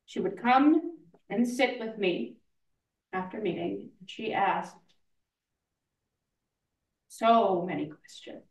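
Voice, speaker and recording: A woman playing a reflective painter looking back, speaking in measured short phrases with pauses, in a staged play reading. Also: medium pitch. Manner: slow, measured, pausing between short phrases. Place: indoor room, live play reading. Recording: echoey room, far from mic.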